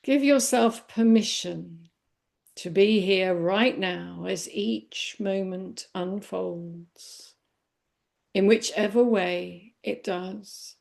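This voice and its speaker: A woman leading a guided body scan meditation in a gentle, unhurried manner with long pauses. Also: mid-range voice, vocal fry. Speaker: meditation teacher.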